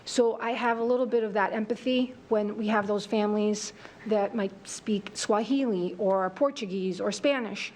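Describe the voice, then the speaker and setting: A woman's voice: mid-range voice, normal speed, a little mellow. Woman, first language greek, earnest, thoughtful, fillers, meeting room, faint noise. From Greece. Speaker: assistant principal candidate. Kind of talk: committee interview answers.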